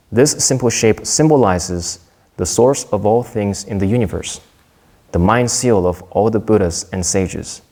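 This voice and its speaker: A young man from Korea giving a prepared Dharma talk in a measured, earnest way, with a few small restarts. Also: on the low side, darkish tone.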